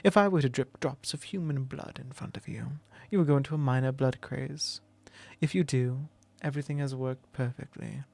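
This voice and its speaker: A man voicing a possessive, commanding male vampire lord in an ASMR roleplay, speaking slow, low and intimate, often in a whisper. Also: gravelly, a little mellow.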